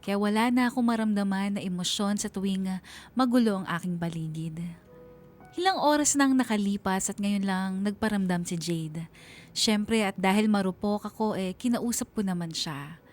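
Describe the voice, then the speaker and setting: Woman acting sad young woman narrating her love story, medium pitch, wistful, soft music under. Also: moderate pace, natural voice. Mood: melancholy. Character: Lila as narrator, melancholy young woman letter writer. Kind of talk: first-person radio drama narration.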